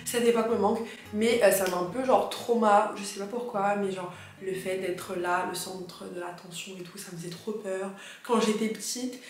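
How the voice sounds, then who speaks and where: A young woman vlogging as an au pair, chatting in a lively, slangy way. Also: mid-range voice, moderate pace. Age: young adult. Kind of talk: casual chat. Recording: very echoey room with music.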